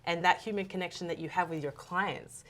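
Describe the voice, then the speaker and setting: Low-pitched affirming female interviewer. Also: steady tone, a little fast, fairly bright tone. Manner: affirming, with frequent "yeah" and "mm-hmm" backchannels. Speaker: interview host. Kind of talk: supportive interview conversation.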